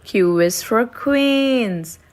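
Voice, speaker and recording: A woman reading aloud for preschool children in a deliberate way, pausing between short phrases. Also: fairly high pitch, lively intonation.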